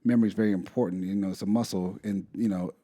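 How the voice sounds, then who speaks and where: A man's voice: sits low, steady tone, quick pace, dark tone. Chatty man co-hosting a podcast. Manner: chatty, rambling with restarts. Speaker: podcast co-host. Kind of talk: casual chat.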